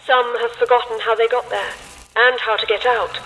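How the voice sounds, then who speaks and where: A woman's voice: a little high, fairly even intonation, normal speed, a bit bright, poor audio. A woman playing a grave, mysterious storyteller, giving scripted dramatic narration of an eerie tale. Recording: faint noise, live theatre stage.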